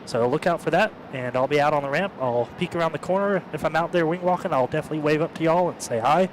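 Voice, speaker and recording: A man's voice: on the high side, quick pace, a little hiss or hum, rough recording. A young man who works the airport ramp, chatting casually and easily about his job. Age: young adult. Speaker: ramp worker and guest. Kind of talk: casual chat.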